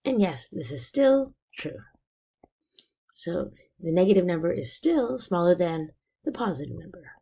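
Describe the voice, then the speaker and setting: A woman teacher explaining a math lesson step by step, her voice raspy and hoarse from being sick. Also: lowish voice, sing-song, unhurried, warm tone. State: hoarse from illness.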